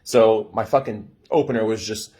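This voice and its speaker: A young American man casually telling a story in an animated way, swearing freely and acting out dialogue. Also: on the low side, normal speed, husky. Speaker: storyteller giving dating advice.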